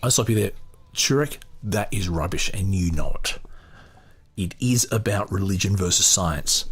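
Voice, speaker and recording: A male podcast host with a fairly low, rough-edged husky voice. He reads aloud and adds his own commentary off the cuff. His delivery is opinionated and emphatic.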